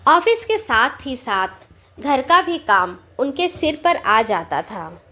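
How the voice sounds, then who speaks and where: A woman storyteller reading a sentimental family story as scripted narration, with measured, deliberate phrasing. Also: high-pitched, sing-song, pushed and bright.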